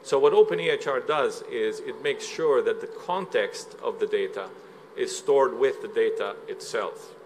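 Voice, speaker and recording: A man from Slovenia presents at a conference in a mid-range voice, explaining each point steadily and pausing on filler sounds. Faint room ambience sits behind him. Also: medium pitch, darkish tone, a little hiss or hum. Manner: measured, explanatory, with frequent "uh" fillers. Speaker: conference speaker. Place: conference hall.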